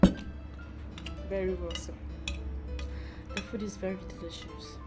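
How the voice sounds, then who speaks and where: An actress playing a polite, deferential dinner companion in a film drama. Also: female voice, medium pitch, steady tone, measured pace, over loud music, lightly acted. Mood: polite, guarded.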